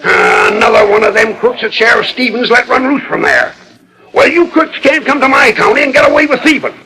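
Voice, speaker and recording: A man's voice: extremely high pitch, brisk, creaky, sharp tone, full character voice, poor audio. A man playing a bossy, hot-headed old-time sheriff with an American accent in a 1940s radio western, on an old disc recording. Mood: blustering, accusing. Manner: bossy, folksy, barking questions.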